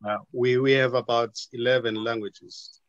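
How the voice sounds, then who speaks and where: A man's voice: medium pitch, flat delivery, no echo, highs cut off. A man with a South African accent, a native Zulu speaker, talking hesitantly in a casual group chat on a video call. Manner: hesitant, halting.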